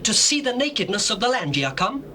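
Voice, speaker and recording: An actor playing a stern, authoritative young governor of Egypt, declaiming formally in a scripture drama. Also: male voice, high-pitched, sing-song, fast talker. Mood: stern, accusing. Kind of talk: classical dramatized scripture reading. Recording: faintly noisy old cassette with ambience.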